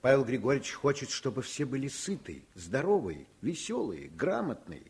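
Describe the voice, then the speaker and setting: An actor playing a principled, gruffly affectionate middle-aged father, patient, teasing and explanatory, in a Soviet radio play. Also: mid-range voice, big pitch swings, normal speed, full character voice. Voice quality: husky.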